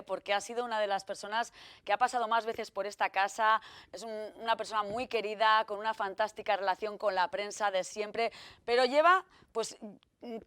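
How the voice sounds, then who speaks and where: A woman's voice: mid-range voice, fairly bright tone, dry recording. A woman hosting a regional TV magazine, chatting through an interview with lots of fillers and self-corrections. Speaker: TV show host.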